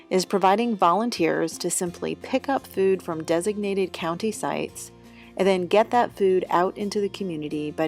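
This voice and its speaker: A woman reads a steady, informative script in a lowish voice with a gravelly edge. Soft music plays faintly behind her. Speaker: ministry volunteer. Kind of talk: scripted narration.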